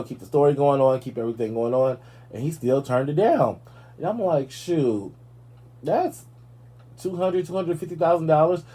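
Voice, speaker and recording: A man hosting a live talk show, chatting casually and sassily about celebrity gossip, with playful asides. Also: mid-range voice. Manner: sassy, animated, playful asides.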